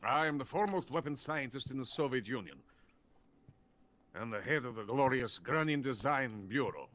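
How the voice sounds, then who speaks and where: An actor playing a drunken, pompous, bitter older Soviet weapons scientist in a video game. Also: male voice, mid-range voice, animated, normal speed, a bit bright, natural voice. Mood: boastful, proud. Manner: pompous, boastful. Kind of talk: theatrical video game voice acting.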